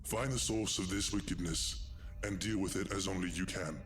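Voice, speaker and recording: An actor playing a grave patron who summons a trusted warrior friend in a dark video game, speaking in a solemn, ominous, measured way. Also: male voice, deep voice, close to an everyday voice. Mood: solemn, urging. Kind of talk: scripted dramatic game narration.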